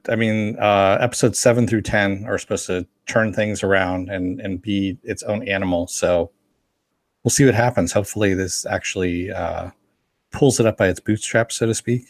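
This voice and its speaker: A man's voice: on the low side, normal speed, dry recording. A man co-hosting a casual discussion and responding to comments. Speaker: co-host. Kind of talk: casual chat, reading viewer comments.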